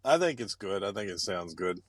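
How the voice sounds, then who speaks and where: A man's voice: low voice, expressive, brisk, fairly bright tone, husky, no room sound, clean studio sound. A man co-hosting a podcast from his car, laid-back and hesitant, often trailing off. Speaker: podcast co-host and aspiring stand-up comic. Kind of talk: casual banter.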